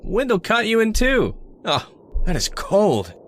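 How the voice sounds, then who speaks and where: A man's voice: high voice, huge pitch swings, takes it slowly, noisy background, exaggerated voice. An actor playing a touchy, volatile man in an old-time radio drama, insistent and casual in his speech. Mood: friendly, weary. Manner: casual, insistent, swinging between offhand and heated.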